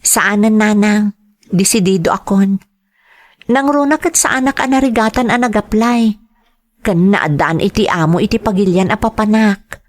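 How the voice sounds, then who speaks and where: A woman's voice: mid-range voice, normal speed, natural voice. A woman acting a determined, dutiful young adult daughter in an audio drama, with an Ilocano Filipino accent. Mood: resolute. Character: determined, dutiful grown daughter seeking work abroad.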